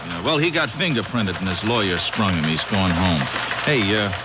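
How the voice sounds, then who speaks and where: Low-voiced, dogged police detective. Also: male voice, lively intonation, normal speed. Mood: casual. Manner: casual, plain-spoken. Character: dogged, suspicious police detective, plain-spoken and conscientious. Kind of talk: 1970s radio drama acting. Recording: muffled, noisy radio recording.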